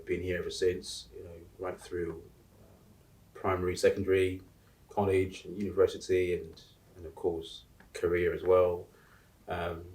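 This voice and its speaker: A man in his forties from Uganda, answering interview questions in a halting way, with many restarts and fillers. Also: low voice, a little mellow, recorded from a distance, low-quality recording. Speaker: interview guest. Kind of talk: casual interview talk.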